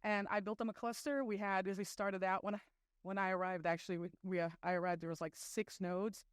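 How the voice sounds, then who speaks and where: A middle-aged woman from Brazil speaks at a conference in a relaxed, chatty way, with plenty of ums and wry asides. Her voice is smooth and clear, at a medium pitch, recorded dry and close. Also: fairly quick, dry recording. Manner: chatty, many fillers, self-deprecating asides. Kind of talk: conference talk.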